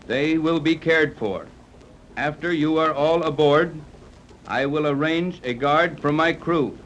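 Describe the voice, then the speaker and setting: Commanding captain in noisy old radio. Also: male voice, a little high, a little slow, fairly bright tone. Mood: stern, deliberate. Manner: decisive, clipped orders. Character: commanding, confident ship captain, adventurous hero. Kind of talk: 1930s radio serial acting. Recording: noisy 1930s radio recording.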